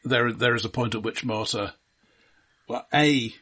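A man's voice: medium pitch, a little slow, sharp tone, breathy edge. A man co-hosting a film podcast, talking analytically and casually in careful, precise wording with a hesitant delivery. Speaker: film discussion podcast co-host.

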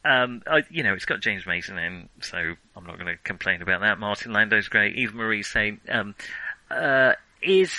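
A man's voice: fairly low pitch, expressive, moderate pace, sharp tone, dry recording, low-quality recording. A man hosting a casual film discussion, talking in a rambling, conversational and amused way. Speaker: film podcast host.

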